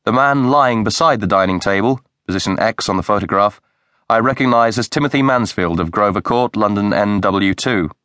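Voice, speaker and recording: Low-voiced male audiobook narrator. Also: dry recording, clean studio sound. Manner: measured, even storytelling. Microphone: close studio mic.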